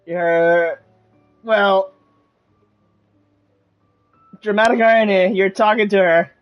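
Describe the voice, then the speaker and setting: A woman's voice: mid-range voice, steady tone, slow, dull audio. A woman streamer giving casual let's-play commentary on a game. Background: game music.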